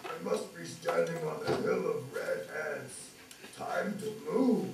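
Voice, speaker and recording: Puppet-theatre giant: very slow, gravelly, plodding, hugely variable pitch, distant reverberant recording. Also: wildly swooping pitch. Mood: menacing, plodding. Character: lumbering giant monster counting its steps and roaring. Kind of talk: puppet theatre fairy tale. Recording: distant, very echoey, noisy puppet show.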